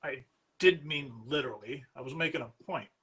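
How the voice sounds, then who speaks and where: A man's voice: medium pitch, brisk, a bit bright, slight background noise, natural voice. A man voicing an ill-mannered, self-pitying war veteran in a Victorian story reading, curt, grumbling and sneering. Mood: growling, sneering. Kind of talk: live dramatic story reading.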